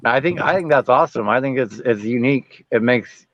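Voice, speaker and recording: A man's voice: medium pitch, brisk, a bit bright, no echo, dull audio. A man co-hosting a casual interview, talking in an easygoing, rambling, encouraging way.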